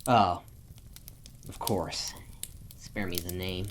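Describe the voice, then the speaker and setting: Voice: sits low, slow pace. An actor playing a power-hungry, brash and vengeful villain leader in a radio play, with some noise on the recording. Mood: scornful, angry.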